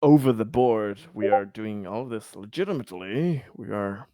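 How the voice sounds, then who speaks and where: A man's voice: lowish voice, expressive, normal speed, dark tone, no echo, studio quality. A man chatting casually as a tabletop game player, in a jokey, mock-earnest way. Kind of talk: casual chat.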